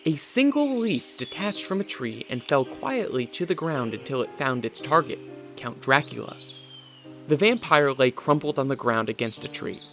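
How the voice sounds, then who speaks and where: A man's voice: mid-range voice, very animated, moderate pace, fairly bright tone. A man giving dramatic scripted narration for a radio adventure story. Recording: music behind the voice.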